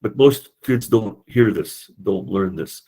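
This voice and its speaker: A man's voice: on the low side, expressive, slow, warm tone, husky. A man lecturing a university class, explaining with rhetorical questions and frequent hesitant fillers.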